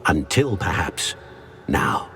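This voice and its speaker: A solemn, ancient wizard speaking from a portrait in a fantasy game. His formal speech rises and falls widely in a lowish voice, with faint ambient noise around it. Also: sing-song, a bit bright, heavily acted. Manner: formal, measured, grandly courteous. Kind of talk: scripted game cutscene acting.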